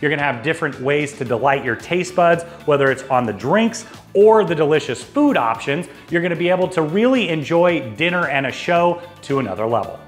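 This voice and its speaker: A man's voice: on the high side, animated, fairly quick, few pauses. A man in his forties hosting a travel show, talking to camera in an upbeat, salesy way with personal asides, on a lapel mic in a studio. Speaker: travel agency host. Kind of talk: enthusiastic cruise overview to camera. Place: dark studio backdrop, indoors. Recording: faint background music.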